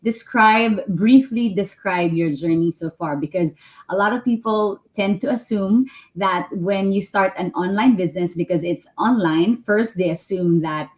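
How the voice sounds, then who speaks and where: A woman's voice: mid-range voice, unhurried, a little mellow. A woman hosting a live online panel, upbeat as she introduces her guests. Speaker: host.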